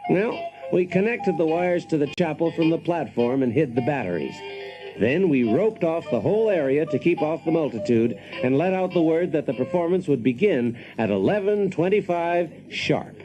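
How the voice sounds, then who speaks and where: A man's voice: fairly high pitch, animated, moderate pace, muffled recording, music in the background, lightly acted. A man playing a brash, wry engineer in a radio drama, telling his story with dry, folksy self-assurance. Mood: wry, self-satisfied. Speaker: protagonist and narrator. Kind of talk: dramatized first-person narration, radio drama.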